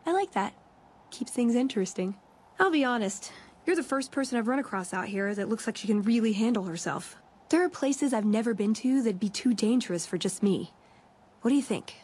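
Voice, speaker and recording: A woman's voice: a little high, animated, a little fast, a little mellow, husky. A woman playing a friendly, wry young scavenger companion in a video game, chatty and playful. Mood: upbeat, hopeful. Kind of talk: video game dialogue acting.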